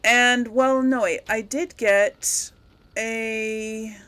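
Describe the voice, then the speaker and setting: A woman's voice: fairly high pitch, steady tone, drawn-out words, fairly bright tone. A woman doing casual game commentary, rambling and thinking aloud as she talks to herself. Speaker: gaming video host.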